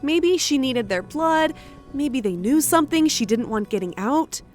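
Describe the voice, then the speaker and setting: A calm, knowing ally. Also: female voice, sits high, measured pace, soft background music. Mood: calm, explaining.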